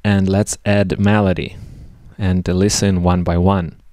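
A man presenting a step-by-step software tutorial over a screen recording. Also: low-pitched, level tone, unhurried, dry recording, studio quality.

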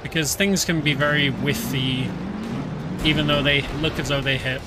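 A British man streaming a game, explaining it in a relaxed, filler-heavy casual commentary. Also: a little high, normal speed. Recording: game music behind.

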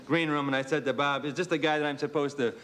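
A man's voice: on the high side, fairly even intonation, fast talker, a bit bright, slight background noise. A man co-hosting a talk show, friendly and jokey with his guests.

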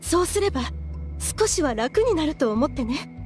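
A woman's voice: high voice, very animated, moderate pace, a little mellow, faint music behind. A woman playing a grieving, obsessive mother in a Japanese anime game, speaking with fervent emotion. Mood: sorrowful, confiding. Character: grieving, obsessive mother desperate to revive her daughter.

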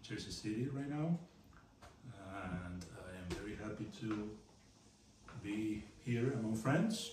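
Slow-talking man in an echoey room. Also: fairly low pitch, noisy background. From Argentina. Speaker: guest artist. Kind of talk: casual group chat. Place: dining room in a home, at a large table. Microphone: far room camera. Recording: roomy, distant, with background music.

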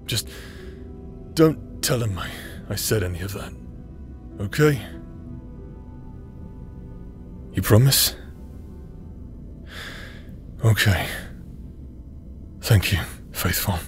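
Man playing a slow-drawling roguish outcast brother. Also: lowish voice, lively intonation. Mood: soft, sincere. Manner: drawling, hesitant, punctuated by chuckles. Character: roguish, teasing outcast brother playing the villain, secretly tender. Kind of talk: intimate audio roleplay acting. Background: music.

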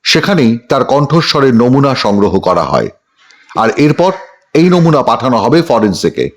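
A man reading a scripted news report as narrator, with a dramatic, emphatic delivery. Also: medium pitch.

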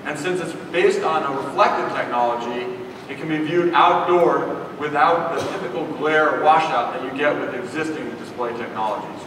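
A man's voice: fairly high pitch, moderate pace. A man on a conference stage giving a polished, promotional keynote presentation. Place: large conference hall stage. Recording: echoey hall from far off with noise.

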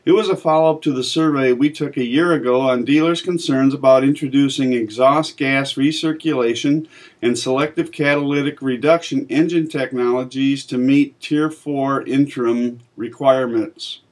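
Man reading a measured editorial. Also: medium pitch, fairly even intonation. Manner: measured, plain reading to camera. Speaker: magazine editor. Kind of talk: scripted editorial commentary. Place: small office with bookshelf, indoors.

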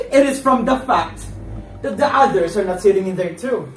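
A man playing a cunning, bitter forger in a stage play, declaiming in a mocking way. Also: high voice. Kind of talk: theatrical one-act stage play acting. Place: furnished indoor stage set, distant camera. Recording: echoey room, distant mic, background noise.

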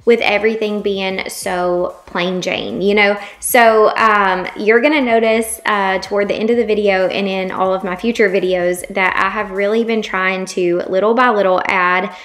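A woman's voice: mid-range voice, steady tone, moderate pace, few pauses, a bit bright. Chatty woman vlogger. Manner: chatty, rambling, many fillers. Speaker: home vlogger. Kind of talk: casual voice-over narration.

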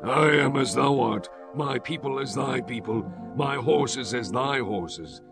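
Formal, pious king over music. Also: medium pitch. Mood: courteous. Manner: formal, measured, declamatory. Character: courteous, pious king of Judah. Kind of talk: dramatized Bible audio drama.

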